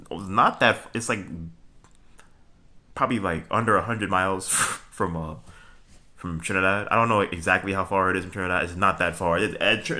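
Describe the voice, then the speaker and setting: A man's voice: sits low, pushed and bright. A young man from Brooklyn, talking to viewers in a rambling solo monologue, full of fillers, restarts and a repeated "okay?", with chuckles between points. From Brooklyn, New York. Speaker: vlogger.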